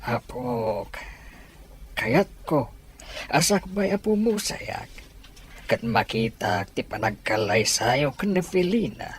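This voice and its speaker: Insistent old grandfather, slow and swooping man acting. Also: medium pitch, big pitch swings, a little hiss or hum. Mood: insistent, pleading. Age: older. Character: insistent, aging grandfather and family business patriarch. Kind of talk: Ilocano audio drama dialogue.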